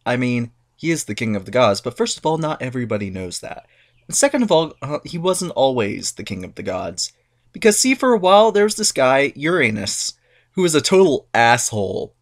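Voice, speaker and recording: A man's voice: mid-range voice, very animated, brisk. A man giving a comic dramatic reading of myths, sarcastic and irreverent. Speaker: narrator.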